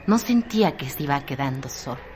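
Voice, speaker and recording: A woman narrating a literary radio drama in measured, short separated phrases. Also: a bass voice, lively intonation, darkish tone, slight background noise.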